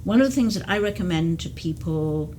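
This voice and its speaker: Woman, geriatric case manager, lowish voice, earnest, repeats words, faint background rumble. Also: animated, brisk, mellow. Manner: earnest, explains at length with many restarts. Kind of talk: explanatory interview talk. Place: community TV studio.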